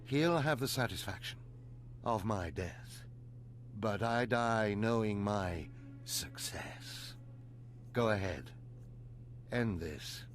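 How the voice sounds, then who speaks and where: An actor playing a dignified, resigned Sith overseer in a video game, speaking in a solemn, formal, measured way. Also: male voice, on the low side, lively intonation, slight background noise. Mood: dying, solemn. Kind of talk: scripted video game voice acting.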